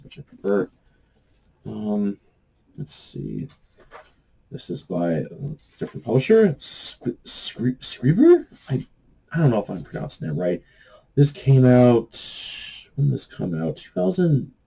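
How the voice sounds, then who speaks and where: Low, mellow-voiced man chatting about books. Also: gravelly. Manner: halting, searching for words and trailing off. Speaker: book review vlogger. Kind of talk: casual rambling book chat.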